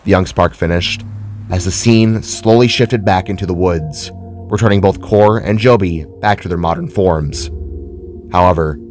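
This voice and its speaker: A man's voice: low-pitched, moderate pace, darkish tone, soft background music. A man reading scripted dramatic fiction as a narrator.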